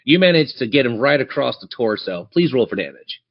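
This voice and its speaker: A man's voice: mid-range voice, very animated, brisk, lo-fi sound. A man narrating a tabletop fantasy game as game master, theatrical yet conversational.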